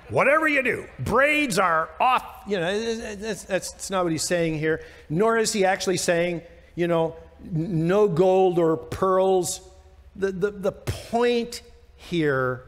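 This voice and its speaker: Higher-voiced American pastor in a reverberant hall. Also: male voice. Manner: informal, emphatic, with rhetorical questions. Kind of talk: conversational verse-by-verse Bible teaching. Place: church sanctuary stage, indoors. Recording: long reverb of a church hall.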